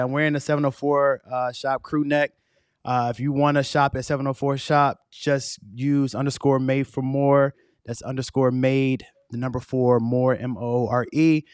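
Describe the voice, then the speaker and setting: A man hosting a podcast in a casual, slangy way, his voice hoarse and fading. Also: mid-range voice, flat delivery, normal speed, no room sound, clean studio sound. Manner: laid-back, slangy, conversational. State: losing his voice. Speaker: podcast host.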